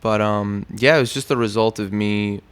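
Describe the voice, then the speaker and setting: A man's voice: lowish voice, steady tone, moderate pace, clean tone. An American man, a musician, chatting casually in an interview in a laid-back, slangy, conversational way. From New York, United States.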